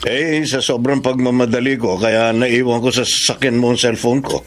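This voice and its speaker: A man's voice: medium pitch, fairly even intonation, moderate pace, slight background noise, lightly acted. A man playing a generous, genial businessman in a Tagalog radio drama, speaking in a familiar way. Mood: grateful, genial. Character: hurried, generous, grateful businessman.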